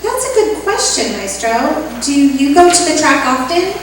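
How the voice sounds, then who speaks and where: A woman's voice: sits high, very animated, normal speed. A woman playing a dim, innocent young woman with naive earnestness in an old-time radio sitcom. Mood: curious. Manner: naive, literal-minded earnestness. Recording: echoey, distant stage recording, faint noise.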